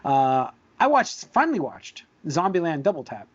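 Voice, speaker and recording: A man hosting a podcast in casual chat, halting and hedging, often repeating his words as he goes. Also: fairly high pitch, wildly swooping pitch. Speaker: podcast host. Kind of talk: casual chat about movies and shows. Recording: dull lo-fi voice recording.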